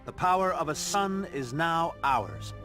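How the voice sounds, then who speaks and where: An actor playing a grandiose, obsessive scientist in a video game, speaking in a grand, proclaiming manner. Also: male voice, a little high, lively intonation, a little slow. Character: grandiose male scientist showman, obsessive and excitable. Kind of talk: video game drama acting. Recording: loud music over the voice.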